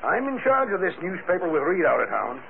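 An excitable male editor from an old radio play. His high, gravelly voice swoops as he barks slangy orders. The sound is tinny and noisy. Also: fairly quick, heavily acted. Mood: harried. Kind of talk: old-time radio drama acting.

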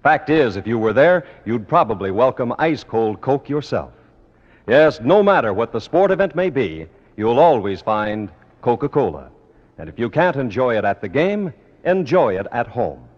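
Animated man announcing on old-time radio. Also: medium pitch, moderate pace. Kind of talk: scripted 1950s radio announcing. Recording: dull old radio broadcast recording.

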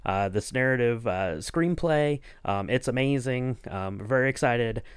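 A man's voice: medium pitch, a little slow, smooth, no room sound, clean studio sound. A man interviewing casually as a podcast host, with hesitant, rambling phrasing.

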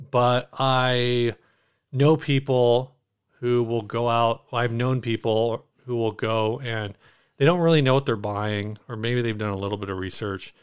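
Smooth, clear-voiced man chatting casually. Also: lowish voice. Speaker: podcast co-host. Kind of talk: casual, rambling chat.

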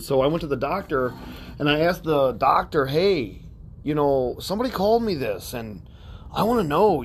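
A man's voice: mid-range voice, slight background noise. A man hosting a self-help podcast, talking in a rambling, conversational way with plenty of fillers and a soothing tone. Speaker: podcast host.

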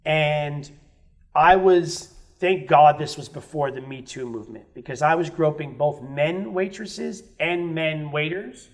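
A man's voice: a little high, unhurried. A man on a podcast telling candid stories about his past, swearing freely and acting out other people's lines. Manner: frank, swears freely, acts out quoted voices. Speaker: guest musician. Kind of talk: candid storytelling in casual chat.

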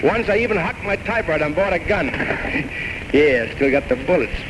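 Tormented, self-loathing failed writer in his thirties, played by a male actor; highish, very animated, theatrical monologues, noisy vintage radio. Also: normal speed, natural voice. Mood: bitter, anguished. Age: 30s. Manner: rambling, theatrical, bitterly self-mocking monologues. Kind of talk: 1940s-1950s radio drama acting. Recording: noisy old radio broadcast recording.

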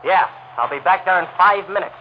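An American actor playing a brusque, suspicious, seasoned homicide lieutenant in a 1940s radio crime drama. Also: male voice, sits high, fairly quick, lightly acted. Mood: agitated. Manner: gruff, informal, clipped. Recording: tinny, hissy old radio broadcast.

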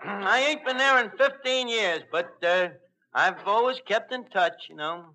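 A man's voice: sits high, lively intonation, unhurried. A man playing a flirtatious, boastful wise guy in a 1940s radio comedy, with hesitant, mangled patter. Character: bluffing, flirtatious male tavern wise guy mangling French. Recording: noisy old radio recording with clicks.

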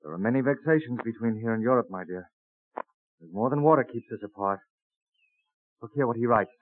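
An actor playing an eager young Quaker farmer and botanist in an old radio drama, speaking earnestly with thee and thy. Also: male voice, mid-range voice, brisk, a little hiss or hum, natural voice. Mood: rueful. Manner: earnest, enthusiastic, theatrical delivery with archaic thee and thy. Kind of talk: mid-century American radio drama acting. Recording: tinny, band-limited vintage radio recording.

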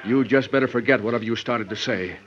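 An actor playing a stubborn, plain-spoken wagon master in an old radio western, firm, folksy and commanding. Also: male voice, mid-range voice, fast talker, fairly bright tone, close to an everyday voice. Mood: stern, dismissive. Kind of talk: old radio drama acting. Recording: dull, slightly noisy old radio recording.

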